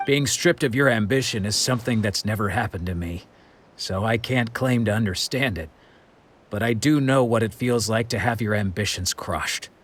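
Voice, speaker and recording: An actor voicing a weary wandering swordsman in a game, stern but caring, speaking in a grave, measured way with sighs. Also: lowish voice, a bit bright, a little hiss or hum. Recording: game soundtrack behind.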